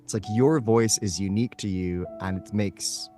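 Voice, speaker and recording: A man's voice: on the low side, moderate pace, warm tone, faint music behind. A man speaking casually and emphatically as a voice actor being interviewed.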